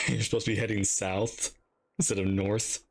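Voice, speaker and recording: A man's voice: fairly low pitch, level tone, moderate pace. A man casually commentating as he reacts to a video game, with game music behind him. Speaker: gaming reaction streamer.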